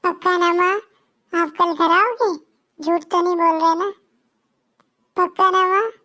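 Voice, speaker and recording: A woman voicing a small tearful girl, pleading with her dying mother in a sobbing, high childlike voice. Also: fairly even intonation, measured pace, pushed and bright, heavily acted. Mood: hopeful. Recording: faint music behind.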